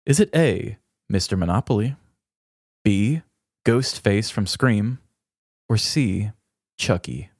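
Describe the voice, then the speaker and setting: A man's voice: fairly low pitch, lively intonation, slow pace, long pauses, a little mellow, breathy edge, no room sound. A man co-hosting a podcast in a casual, chatty style. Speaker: podcast co-host. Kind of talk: casual chat with trivia.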